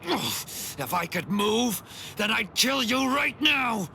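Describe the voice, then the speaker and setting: Brash, scornful warrior, wildly swinging pitch. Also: male voice, very animated, takes it slowly, sharp tone, a little hiss or hum, heavily acted. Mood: outraged. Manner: gruff, mocking, dramatic. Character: brash wounded young male warrior, proud and scornful. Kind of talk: English video game voice acting.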